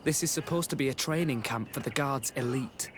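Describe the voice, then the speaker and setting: A man playing a tormented, bitter young military recruit in a video game cutscene, speaking gravely and earnestly. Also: mid-range voice, a little fast, close to an everyday voice. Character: tormented young male recruit, grim, bitter, guilt-ridden. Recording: clearly noisy game audio with ambience.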